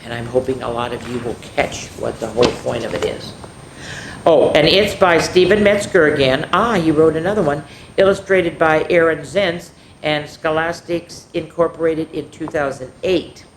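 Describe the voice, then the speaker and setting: A woman storyteller reading a picture book aloud to children, with lively, chatty asides to the kids. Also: low-pitched, normal speed, slight background noise. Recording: roomy echo.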